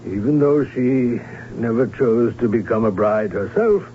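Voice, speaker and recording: A man's voice: mid-range voice, unhurried, natural voice. A formal, fussy baron and father played by a man, earnest and dutiful, in vintage radio drama style. The recording is dull, with a faint hum. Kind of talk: old-time radio drama acting.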